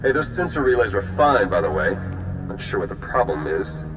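Game ally, male spy; low, dry and casual. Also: big pitch swings, moderate pace. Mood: wry. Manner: casual and dryly sarcastic. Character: wry, easygoing male spy. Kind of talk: video game voice acting. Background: loud game music.

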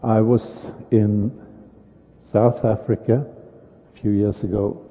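An older man with a Swedish accent giving a conference lecture on a stage, halting, with many fillers and restarts. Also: fairly low pitch, flat delivery, warm tone. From Sweden. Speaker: dentist giving a conference talk. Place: large conference hall stage. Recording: dull sound with long hall reverb.

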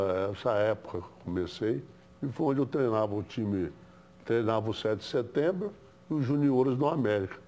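A man's voice: mid-range voice, warm tone. An elderly Brazilian man in casual sports debate, insistently repeating his phrases. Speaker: sports panelist, former footballer and coach. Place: TV studio.

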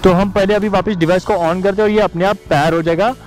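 High-pitched Indian man explaining, music behind. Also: steady tone, fast, a little mellow. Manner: explanatory, mixes English terms into Hindi. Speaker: motovlogger. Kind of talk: tutorial vlog to camera and voice-over. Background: background music.

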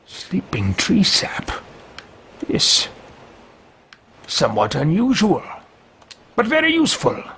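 Man voicing a pompous, lilting, slow-talking greedy mage musing aloud; home recording. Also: high voice, wildly swooping pitch, bright, forceful tone, a little hiss or hum, full character voice. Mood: haughty. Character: haughty male mage-thief, self-talking, greedy. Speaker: let's play host. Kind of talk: in-character game roleplay. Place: home room with bookshelves.

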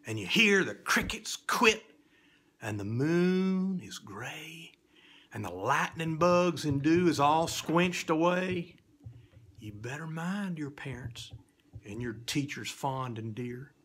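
A middle-aged man reciting a children's poem like a spooky storyteller, swinging between hushed whispers and loud cries. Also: on the high side, expressive, slow pace, slightly rough. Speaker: storyteller reading children's poetry. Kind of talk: dramatic theatrical poetry recitation for children.

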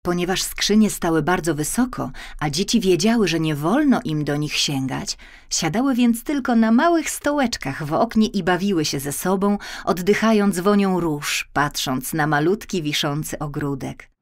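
A woman's voice: on the low side, lively intonation, normal speed. A woman narrating a children's fairy tale expressively, drawing out key words for emphasis. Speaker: audiobook narrator.

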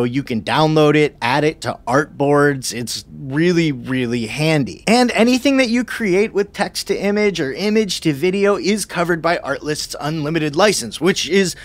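A man in his thirties hosting a casual, conversational software tutorial, on a close broadcast mic in a small studio. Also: on the high side. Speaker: video tutorial host. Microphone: close broadcast mic on boom arm.

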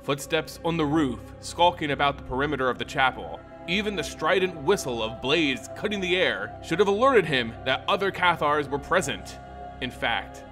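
A man reading a fantasy tale as a dramatic narrator, with theatrical, deliberate phrasing. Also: a little high, a bit bright. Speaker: storyteller, narrator.

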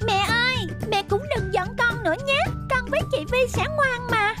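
A woman's voice: extremely high pitch, normal speed. A woman voicing a mischievous, fretful, affectionate small boy in a children's cartoon. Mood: sheepish. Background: children's background music.

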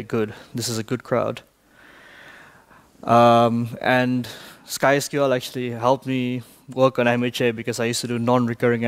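A man from Malaysia giving a technical talk in a large conference hall, briskly quizzing the audience. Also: mid-range voice. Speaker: conference speaker.

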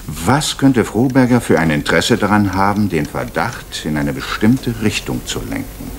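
Deep-voiced, curt police investigator. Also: male voice, very animated, normal speed, gravelly, heavily acted. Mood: businesslike. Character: brisk male criminal police investigator. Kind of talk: East German radio drama acting. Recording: old radio recording, faint noise.

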